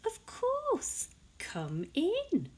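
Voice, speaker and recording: A woman's voice: very high voice, wildly swooping pitch, extremely slow, pitched above the performer's own voice, exaggerated voice. A woman voicing a kindly, hospitable mother from a children's storybook in a polite, sing-song manner. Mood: welcoming. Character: Sophie's mummy, kindly hospitable young mother.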